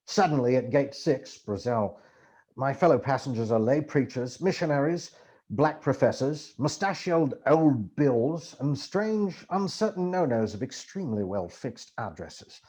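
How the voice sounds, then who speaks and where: A man performs a book reading as an amateur actor would, with theatrical flair. His mid-pitched voice moves widely up and down, giving the narration a lively, expressive shape. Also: a little slow. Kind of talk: dramatic book reading to camera.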